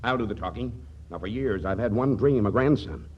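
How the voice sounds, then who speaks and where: A man's voice: fairly low pitch, a little fast, close to an everyday voice. An actor playing an emotional, stubborn older father in a 1940s radio comedy, with a blustering, insistent manner. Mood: stubbornly insistent. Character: emotional, stubborn old father craving a grandson. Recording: noisy, muffled 1940s radio recording.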